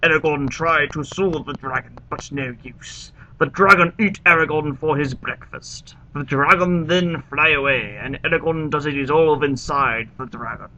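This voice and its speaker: A man playing a pompous, earnest foreign author who gives theatrical, deliberate dramatic readings of his absurd broken-English stories. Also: on the high side, sharp tone, slight background noise, rough recording. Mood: grave. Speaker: reader of fan fiction.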